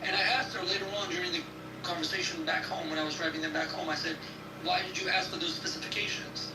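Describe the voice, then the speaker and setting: A man who lectures in neuroscience, speaking haltingly with lots of fillers in a casual call-in discussion, on distant, echoey, noisy audio. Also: on the high side, flat delivery, over music. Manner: halting, heavy with fillers and restarts. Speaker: university lecturer in cognitive neuroscience, guest speaker.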